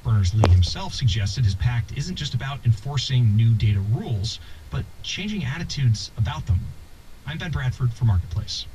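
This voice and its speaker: A man's voice: medium pitch, animated, normal speed, warm tone, a little hiss or hum. A man reading scripted radio news as a reporter. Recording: distant car radio picked up by phone. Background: music.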